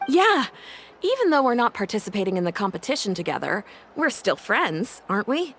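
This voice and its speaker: A woman's voice: mid-range voice, sing-song, fairly quick, a little hiss or hum. A woman playing a battle-weary veteran warrior in a video game, practical, matter-of-fact and apologetic. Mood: reassuring. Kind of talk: English video game voice acting. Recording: loud music behind the voice.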